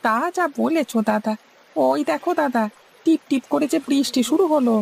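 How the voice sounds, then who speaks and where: A woman voicing an eager young male boatman's helper in a cartoon story, respectful, questioning and slightly fretful, with a creaky voice. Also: high-pitched, moderate pace, darkish tone. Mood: agreeable. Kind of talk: Bengali cartoon story dialogue. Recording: faint background noise and ambience.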